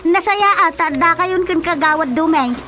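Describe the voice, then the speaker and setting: A woman playing a chatty, fretful Filipino village woman in a radio drama comedy, expressive and exclamatory, with a northern Philippine accent. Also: extremely high pitch, normal speed, slight background noise. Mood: bustling, welcoming. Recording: music behind the dialogue.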